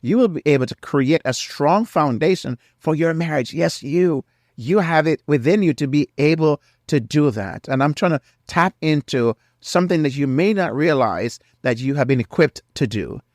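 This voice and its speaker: A man's voice: fairly high pitch, lively intonation, moderate pace, no room sound, clean studio sound. A middle-aged man hosting a faith-based marriage podcast, earnest and preacherly, sounding nasal from a cold. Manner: earnest, preacherly, repeats key phrases. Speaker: podcast host.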